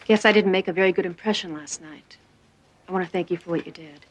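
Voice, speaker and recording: An actress playing a fearful, obsessive woman in psychiatric care in a television drama, with a husky voice and a guarded, brittle manner. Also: fairly low pitch, fast, lo-fi sound, close to an everyday voice. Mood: wry, subdued. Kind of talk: naturalistic television drama dialogue.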